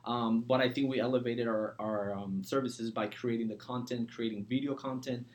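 A man's voice: mid-range voice, steady tone, moderate pace. A man in his forties from Mexico, talking casually as an interview guest and entrepreneur on a podcast. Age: 40s.

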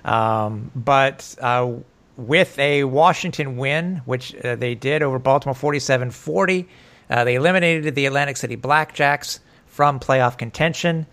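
A man's voice: mid-range voice, normal speed, a bit bright. Man, podcast host, casual and rambling, lots of ums, self-mocking. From English-speaking, living in Montreal. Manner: rambling, filler-heavy, self-deprecating. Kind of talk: casual sports podcast chat.

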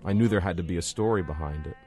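A man's voice: sits low, very fast, a little mellow, breathy edge, faint music behind. A man speaking reflectively as an interviewed author.

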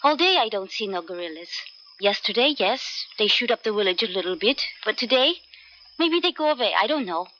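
A woman's voice: medium pitch, expressive, a little fast, pushed and bright. A woman playing a fond, jealous young village girl who speaks simple broken English, in 1970s radio drama style. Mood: eager, dismissive. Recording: dull old radio broadcast, faint noise.

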